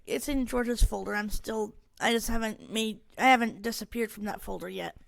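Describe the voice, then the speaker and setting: Creaky, fairly high-voiced woman chatting. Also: moderate pace, no echo. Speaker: podcast co-host. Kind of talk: casual group chat.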